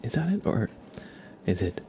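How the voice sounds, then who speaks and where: A man hosting an ASMR livestream in a soft, gentle, encouraging voice that often slips into whispering. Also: on the low side, sing-song, moderate pace, gravelly, slight background noise. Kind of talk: ASMR, soft-spoken live stream with whispering.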